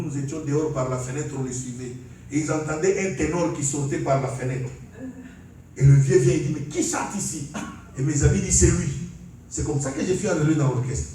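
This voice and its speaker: Congolese preacher in an echoey hall. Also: male voice, medium pitch, mellow, distant mic, a little hiss or hum. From Congo (DRC). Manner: calls for amens, laughs while preaching. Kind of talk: sermon with testimony. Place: large church hall.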